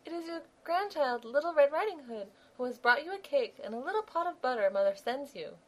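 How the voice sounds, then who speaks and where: A man voicing a polite, trusting little girl in a storybook reading, with a high, bright, eager voice. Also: animated, brisk, low-quality recording. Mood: innocent.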